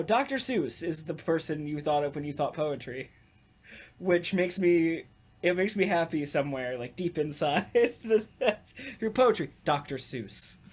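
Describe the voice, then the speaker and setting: A podcast co-host in casual conversation, with a mid-range voice and a slow pace. The talk rambles loosely and carries a wry sense of humor. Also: medium pitch. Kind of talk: casual chat about a radio play.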